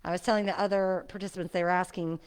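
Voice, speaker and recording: A woman's voice: fairly low pitch, fairly even intonation, very fast, darkish tone, clean tone. A middle-aged woman, a fitness instructor, chatting casually before class, her voice muffled by a face mask. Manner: chatty, friendly, laughs often. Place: seniors' center exercise room.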